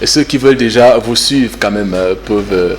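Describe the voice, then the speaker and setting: A man's voice: on the low side, moderate pace, noisy background. Cameroonian man hosting a talk show. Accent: Cameroonian French. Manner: relaxed, hesitant, many fillers and restarts, teasing. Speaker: host. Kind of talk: casual live talk show interview.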